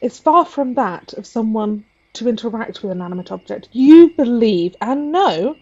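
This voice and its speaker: A woman's voice: a little high, lively intonation, moderate pace, a little mellow, husky, dull audio. A woman playing a fey judge, asking questions in a formal, interrogating manner. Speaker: player voicing a fey judge.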